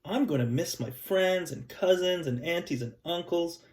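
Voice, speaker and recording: A man teaching a class, chatting gently and reading a picture book aloud to children. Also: fairly high pitch, normal speed. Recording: echoey room, mic at a distance.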